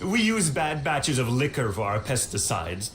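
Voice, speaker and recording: A man's voice: medium pitch, big pitch swings, moderate pace, fairly bright tone, a little hiss or hum. An actor playing a cheerful male orchard worker for a company, talking in folksy, overly upbeat sales patter.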